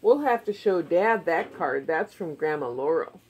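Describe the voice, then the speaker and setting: A woman's voice: low voice, expressive, measured pace, a little hiss or hum. A woman chatting casually and encouragingly in short, simple questions, as if to a child in a home puppet show. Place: living room beside a Christmas tree at home. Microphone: phone camera a short way off.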